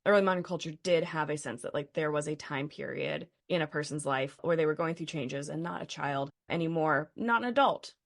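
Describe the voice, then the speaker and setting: A woman's voice: low voice, brisk, creaky, few pauses. A woman co-hosting a podcast, talking things through in a thoughtful, casual way with frequent fillers. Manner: thoughtful, thinks aloud with fillers. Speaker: podcast co-host.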